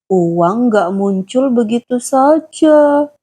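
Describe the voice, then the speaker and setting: A woman voicing a gentle, patient father who explains things slowly to his young daughters in a children's story. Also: a little high, lively intonation, husky, heavily acted. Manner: slow, soft, explaining. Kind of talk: storybook dialogue.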